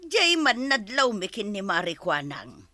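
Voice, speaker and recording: An actress playing a gossipy, giggly village wife in a radio comedy drama. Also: female voice, medium pitch, big pitch swings, unhurried, pushed and bright, dry recording.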